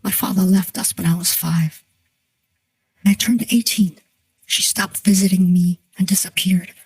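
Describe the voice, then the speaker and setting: A woman in her thirties playing an earnest, troubled client who recounts her haunting in a measured, scripted dramatic monologue. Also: lowish voice, steady tone, breathy edge, dry recording, rough recording. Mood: earnest, wistful. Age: 30s. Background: soft music.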